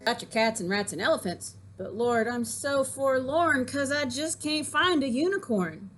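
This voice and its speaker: A woman's voice: high voice, animated, moderate pace, fairly bright tone, a little hiss or hum, voice pushed up. A woman voicing a forlorn, urgent old man from a comic storybook poem, calling out and pleading.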